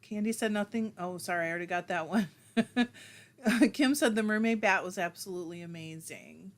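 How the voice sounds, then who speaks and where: A woman at a middle pitch hosts a relaxed livestream. She reads viewer comments aloud and answers them in a chatty, self-deprecating way. Laughter keeps slipping into her talk. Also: normal speed. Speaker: livestream host.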